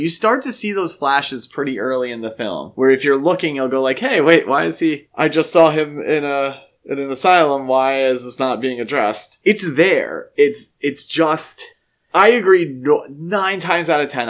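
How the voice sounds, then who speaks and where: A man's voice: medium pitch, animated, moderate pace. A man co-hosting a casual film discussion podcast, arguing his opinions at length. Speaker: podcast co-host.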